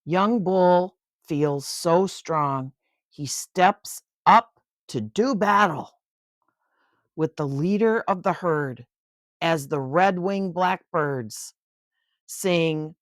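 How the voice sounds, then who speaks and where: Grandma-aged lady reading a kids book out loud, real slow and kinda low, expressive, chats about the pictures. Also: no room sound, studio quality. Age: older. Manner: expressive, unhurried, pausing to marvel at the pictures. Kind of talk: read-aloud of a children's picture book with chatty asides.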